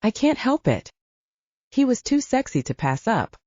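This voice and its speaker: A woman's voice: a little high, big pitch swings, a little slow, darkish tone, dry recording, muffled recording, voice pushed up. A woman voicing a cheeky, flirty male wizard who talks in a teasing, breezy way. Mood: breezy, playful.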